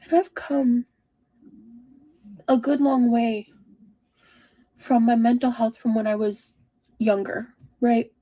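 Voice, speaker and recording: A woman in her thirties speaking in a halting, self-reflective way, as in a personal confessional vlog. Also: a little high, level tone, warm tone, rough recording. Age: 30s.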